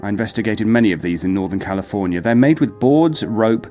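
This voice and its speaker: Actor as a low-voiced, skeptical psychologist. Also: male voice, dark tone, faint music behind, lightly acted. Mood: skeptical, regretful. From England. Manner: measured, formal, skeptical. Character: polite, skeptical male psychologist, weary and rational. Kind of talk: audio drama acting.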